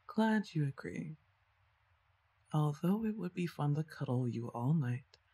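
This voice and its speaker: Unhurried, breathy young woman; a woman voicing a playful older sister who teases softly in an ASMR roleplay. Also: sits low, full character voice. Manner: playful, teasing, soft-spoken.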